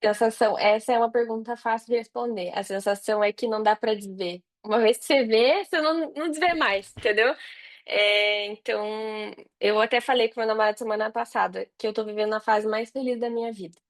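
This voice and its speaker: A woman's voice: a little high, fairly quick. A Brazilian woman chatting casually as an interview guest, informally thinking her answers through aloud. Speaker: podcast guest, digital marketer.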